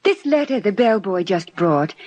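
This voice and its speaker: An actress playing an affectionate, innocent new bride with a breathy voice, in 1950s radio drama style. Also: female voice, medium pitch, big pitch swings, moderate pace, noisy background, natural voice. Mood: fond, tipsy. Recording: vintage 1950s radio recording.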